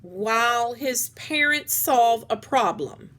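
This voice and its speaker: A woman voicing a brusque, fearful neighbour woman, speaking in a crisp, impatient way. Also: a little high, animated, slow pace, fairly bright tone, pitched above the performer's own voice. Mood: crisp, curt.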